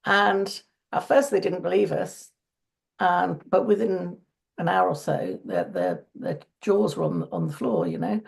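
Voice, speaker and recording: A woman speaking as an interview guest in earnest conversation, her voice croaky today and often restarting phrases. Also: fairly low pitch, expressive, unhurried. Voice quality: gravelly.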